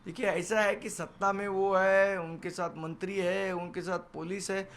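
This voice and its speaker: Assertive high-pitched man. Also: level tone, a little slow, a bit bright. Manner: assertive, defiant, emphatic repetition. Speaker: political party leader and spokesman. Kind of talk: television news interview answers. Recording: noisy location sound, background ambience.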